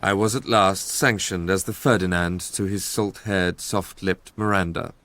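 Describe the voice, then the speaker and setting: An actor playing an educated, introspective Englishman narrates in a measured, literary way, with an English accent, in a radio drama. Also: sits low, fairly even intonation, fairly bright tone. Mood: reflective. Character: educated Englishman recalling his romantic obsession, wistful and introspective.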